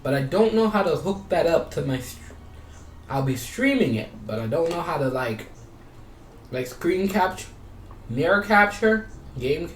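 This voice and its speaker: A man's voice: a little high, unhurried, pauses often. A man chatting casually as a gaming YouTuber, rambling and repeating his phrases, sometimes reading game text aloud. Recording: roomy, distant mic, steady background noise.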